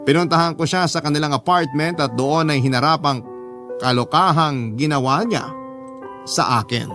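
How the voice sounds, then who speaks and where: A man's voice: a little high, normal speed. A man with a Filipino accent narrating a first-person dramatic story as a radio drama narrator. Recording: music behind the voice. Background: background music.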